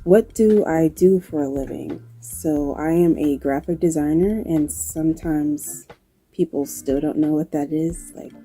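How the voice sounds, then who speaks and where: A woman with a New York accent and occasional Southern touches, talking casually to camera in a low-key, dry, unhurried way, answering questions. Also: warm tone, slight background noise. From New York, United States. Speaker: video creator and graphic designer.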